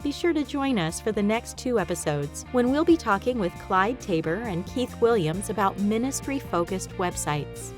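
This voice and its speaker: A woman delivers scripted podcast narration as an announcer. Her voice is mid-pitched, with plucked guitar music clearly playing behind it. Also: expressive, normal speed, hardly any pauses. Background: plucked guitar theme music.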